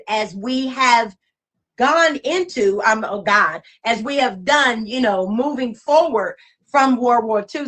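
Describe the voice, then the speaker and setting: A woman co-hosting in casual chat, measured and searching for words at times. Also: on the high side, fairly bright tone.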